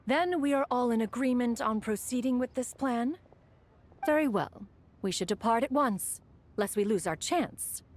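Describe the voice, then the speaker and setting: A woman's voice: on the high side, moderate pace, a little hiss or hum, close to an everyday voice. A woman voicing a proud, aloof immortal adept in a video game, speaking formally and haughtily. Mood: resolute. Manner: formal, haughty, refers to self as one. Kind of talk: game voice acting.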